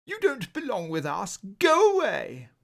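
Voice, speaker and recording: A man's voice: high-pitched, huge pitch swings, unhurried, gravelly, voice pushed up, heavily acted. A man voicing haughty, vain, pretty owls with a snooty drawl in a children's storybook. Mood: disdainful. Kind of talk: children's picture book read aloud.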